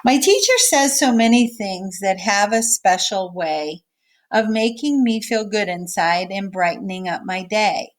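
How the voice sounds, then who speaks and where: Female teacher, medium pitch, read-aloud for kids mixed with casual, simple explanations and small check-ins with the listeners. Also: unhurried. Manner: explains simply, checking in with okay and all right. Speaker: teacher reading a picture book aloud.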